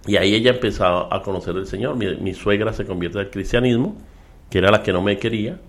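A middle-aged man with a Colombian accent, an interview guest and minister, telling his story at a measured pace with frequent fillers. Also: on the low side. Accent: Colombian Spanish. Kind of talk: relaxed testimony in conversation.

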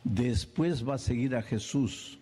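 Animated preacher man, mellow voice. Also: medium pitch, slow, husky. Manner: animated, acts out little scenes and questions. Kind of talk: sermon.

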